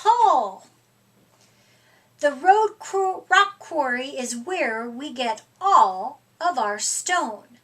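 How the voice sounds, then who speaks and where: Animated, high-pitched woman reading a children's story. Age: middle-aged. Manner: deliberate, emphatic, theatrical delivery for young children. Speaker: storytime reader. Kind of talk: expressive children's picture book read-aloud. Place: small furnished room indoors.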